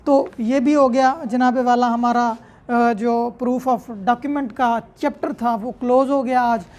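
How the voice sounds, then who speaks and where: A woman's voice: fairly high pitch, fairly even intonation, slow, darkish tone. A woman with a Pakistani accent giving an explanatory law lecture in a didactic way, with frequent check-in tags. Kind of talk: explanatory lecture, reading out and paraphrasing legal text.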